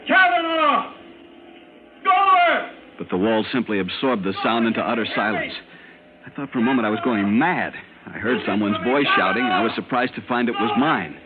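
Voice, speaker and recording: Haunted lieutenant on tinny old radio. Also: male voice, mid-range voice. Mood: panicked shouting, then fearful. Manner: intense, dramatic, earnest. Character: frightened military lieutenant recounting a strange captivity, urgent and haunted. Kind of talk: old-time radio drama acting, first-person narration. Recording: noisy, narrow-band old radio recording.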